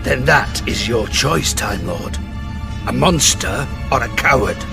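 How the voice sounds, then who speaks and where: A sneering, power-mad male villain in an audio drama, theatrical and taunting. He speaks slowly with a gravelly edge, over clearly audible dramatic music. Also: mid-range voice, lively intonation. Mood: cold taunting.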